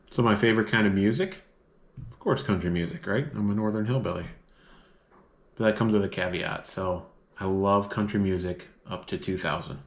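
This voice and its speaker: A man's voice: low-pitched, muffled recording. A man from the northern United States with no accent, chatting casually to camera as a vlogger, rambling with many filler words. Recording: echoey room.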